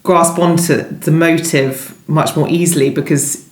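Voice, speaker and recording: Woman writer chatting in an interview, lowish voice, hesitant and rewording as she goes, bit echoey. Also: fairly even intonation, unhurried. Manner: hesitant, thinking aloud, often rephrasing mid-sentence. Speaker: guest novelist being interviewed. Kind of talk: conversational author interview. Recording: roomy, echoey sound.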